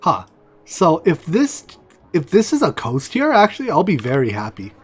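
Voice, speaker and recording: A man's voice: fairly high pitch, normal speed, husky, faint music behind. Chatty man streaming a game. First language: Chinese. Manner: mumbling asides, excited outbursts. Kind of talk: casual gameplay commentary.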